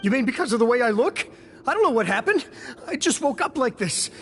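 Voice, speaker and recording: Desperate game prisoner, high voice, agitated, insistently pleading innocence. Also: female voice, very animated, a little fast.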